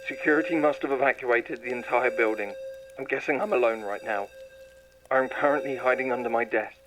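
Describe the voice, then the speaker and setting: A man's voice: mid-range voice, music in the background, rough recording. A man playing a clinical lab scientist logging experiments. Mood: frightened. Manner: clinical, measured log dictation. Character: male lab scientist logging tests, growing frightened. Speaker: scientist recording lab logs. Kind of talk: scripted horror audio narration.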